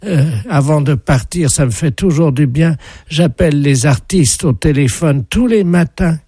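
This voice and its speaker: A man's voice: on the high side, a little slow, mellow, breathy edge, no echo, clean studio sound. A man on a prank phone call, gushing with overly effusive praise. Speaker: prank caller.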